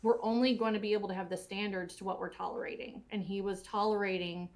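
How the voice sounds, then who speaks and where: A woman's voice: mid-range voice, steady tone, fast, a little mellow. A woman giving casual, reflective commentary in an earnest, motivational, coach-like manner. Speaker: audio drama cast or crew member giving commentary.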